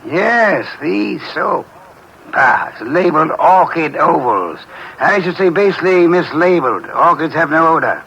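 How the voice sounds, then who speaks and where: A pompous detective in an old radio drama, with a gravelly, bright voice pitched a little high. He talks in a dry, lordly, sardonic way, as if everyone around him is a slight bore. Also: animated, unhurried, dull audio, slight background noise, exaggerated voice. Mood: lecturing. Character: pompous, fussy, sharp-witted male detective. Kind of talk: 1940s radio acting.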